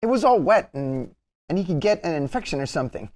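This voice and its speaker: A man's voice: fairly high pitch, big pitch swings, fast, a little mellow. A man playing a fretful, whiny, naive sidekick in a comedy table read. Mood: worried. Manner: whiny, exclamatory.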